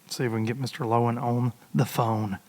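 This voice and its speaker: A man hosting a casual podcast, hoarse and rambling, chatting loosely to his listeners into a microphone. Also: medium pitch, little pitch movement, fast talker, darkish tone, slight background noise. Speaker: podcast host. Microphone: studio microphone.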